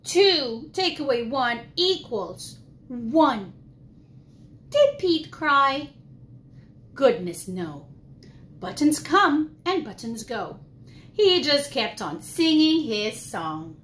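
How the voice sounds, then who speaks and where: A woman preschool teacher reading a picture book aloud to young children in an exaggerated, expressive way, asking questions as she goes. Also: high voice, extremely slow, long pauses. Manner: gasps and drops to whispers for suspense. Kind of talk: animated children's storytime read-aloud.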